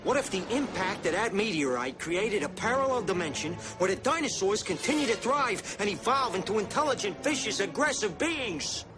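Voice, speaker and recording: A man gives a scripted film narration in a high voice. His delivery swings widely in pitch, and music plays clearly underneath. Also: very animated, moderate pace, few pauses.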